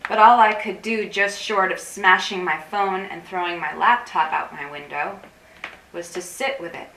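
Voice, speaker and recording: A roomy, slightly distant recording with faint noise carries an actress playing an anxious, intense woman. Her voice is bright and forceful, and she confides in long, dramatic, wordy lines, as in a staged reading of a play. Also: lowish voice, normal speed. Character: anxious, intense woman craving digital disconnection. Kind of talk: theatrical staged reading, monologue-like dialogue. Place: room at a live staged reading.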